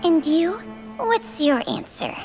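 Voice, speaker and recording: A woman's voice: very high voice, sing-song, takes it slowly, exaggerated voice. A woman playing an ancient, wry female snake spirit in a video game, with a theatrical, world-weary delivery. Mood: weary, resigned. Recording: music playing behind the voice.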